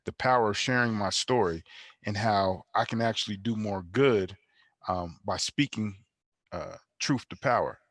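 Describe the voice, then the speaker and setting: A man with a fairly deep voice is recorded very dry. He reflects on himself in a measured, thoughtful way, now and then tagging a phrase with a check-in. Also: fairly bright tone, dry recording. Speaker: monologuist on a panel. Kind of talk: reflective personal testimony.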